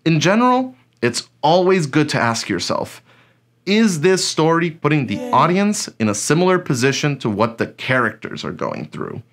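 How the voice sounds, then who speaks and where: A man's voice: on the high side, very animated, normal speed, fairly bright tone. A man giving scripted instructional narration as a course teacher, conversational and fond of rhetorical questions and acted-out examples. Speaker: instructor of an online audio drama course.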